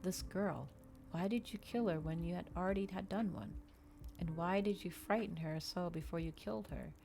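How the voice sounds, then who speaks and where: Anguished vampire man, read by a woman, earnest, formal, accusing, low-ish, fry, soft audiobook style. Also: normal speed, soft background music. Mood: accusing, distressed. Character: brooding male vampire, bitter, anguished, accusing. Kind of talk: soft ASMR audiobook character reading.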